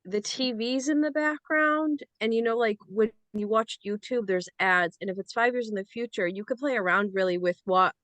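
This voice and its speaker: A woman's voice: fairly high pitch, normal speed, hardly any pauses, a bit bright, no echo. American woman, casual panel chat, rambling, dry recording. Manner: rambling, full of "like". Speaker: actor on a script reading panel.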